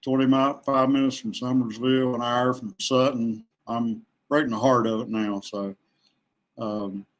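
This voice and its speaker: A middle-aged man from West Virginia, chatting casually as a guest in a halting way with pauses. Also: medium pitch, fairly even intonation. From West Virginia, USA. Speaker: podcast guest, kayak angler. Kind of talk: casual chat. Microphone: remote online call.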